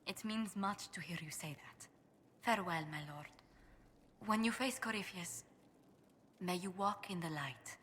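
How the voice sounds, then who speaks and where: Elegant female diplomat, courteous, polished, low-pitched, long reverb, faint hiss. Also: measured pace, pauses often, fairly bright tone. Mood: tender. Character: gracious female diplomat, poised and warm. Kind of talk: video game voice acting.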